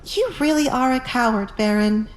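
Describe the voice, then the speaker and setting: A woman's voice: on the high side, moderate pace, echoey room, voice pushed up, close to an everyday voice. Woman playing a cold, resolute young noblewoman. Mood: contemptuous. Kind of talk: audio drama narration with acted dialogue.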